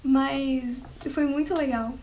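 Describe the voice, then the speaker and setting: A woman's voice: sits high, steady tone, slow pace, darkish tone, a little hiss or hum. A woman telling a travel story to the camera in an unscripted, chatty way, often laughing and tagging on "né". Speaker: travel vlogger. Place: at home, with cats nearby.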